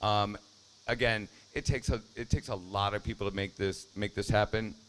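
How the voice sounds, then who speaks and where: A man speaking informally at an outdoor ceremony, energetic and congratulatory, restarting phrases as he goes. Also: on the low side, moderate pace, poor audio. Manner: energetic, congratulatory, repeats himself. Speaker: funding partner speaker. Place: outdoors at a ribbon cutting. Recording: faint outdoor noise with crickets.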